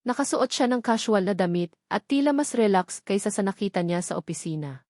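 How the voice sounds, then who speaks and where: A Filipino woman tells a romantic story from a script. Her voice sits at a middle pitch, and her delivery is dramatic and measured. The sound is dry, with no room around it. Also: darkish tone, no room sound. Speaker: story narrator.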